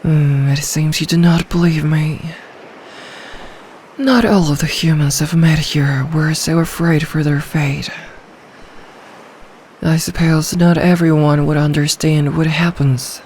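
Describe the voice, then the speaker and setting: A woman playing an ancient, possessive sea dragon in human form for an ASMR roleplay, speaking in a regal, seductive, deliberate manner. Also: sits low, fairly even intonation, a little mellow, a little hiss or hum. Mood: musing, coaxing.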